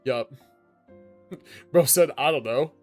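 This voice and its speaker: A man's voice: mid-range voice, slow. A man giving casual livestream commentary on a video game in a slangy, rambling, sweary way. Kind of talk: casual gaming stream commentary. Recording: game music behind the voice. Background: game music.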